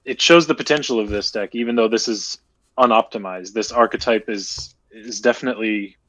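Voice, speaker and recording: A man's voice: fairly low pitch, fairly quick, a bit bright, no echo, low-quality recording. A man chatting casually and joking with a friend while they play a card game on stream. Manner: joking, playful banter.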